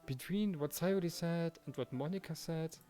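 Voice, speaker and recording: A man's voice: on the high side, moderate pace, warm tone. A man reading first-person visual novel narration and the protagonist's lines in a dramatized way, with music behind him.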